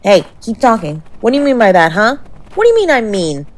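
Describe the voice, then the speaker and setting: A woman playing a tough, sharp-tongued young woman who is secretly tender, in an intimate roleplay audio drama spoken to the listener. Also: mid-range voice, wildly swooping pitch, brisk. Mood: bossy, worried. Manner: brusque, bossy and teasing with urgent pleading.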